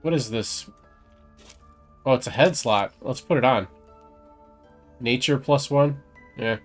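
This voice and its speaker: A man's voice: mid-range voice, slow pace, faint music behind. A man giving casual commentary on a video game he is playing, sometimes reading game text aloud.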